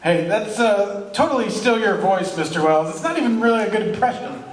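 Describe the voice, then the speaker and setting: A man's voice: sits high, animated, fairly quick. A man playing a pedantic, casual radio station sidekick with a hesitant, nitpicking way of talking in a live parody sketch. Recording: echoey hall, distant, faint noise.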